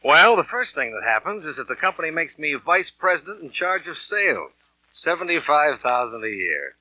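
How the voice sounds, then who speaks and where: An actor playing a cynical, smooth-lying American salesman and narrator in a 1940s radio thriller, cool and offhand in manner. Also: a little high, very animated, normal speed, no room sound. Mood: boastful, offhand. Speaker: lead actor and first-person narrator. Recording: dull vintage radio recording with music.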